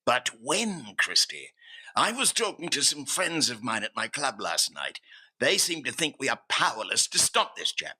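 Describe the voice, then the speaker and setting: An actor playing a pompous, impatient upper-class customs chief in an old-time radio drama, blustering and demanding. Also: male voice, medium pitch, big pitch swings, moderate pace, bright, forceful tone, breathy edge, heavily acted. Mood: indignant, demanding.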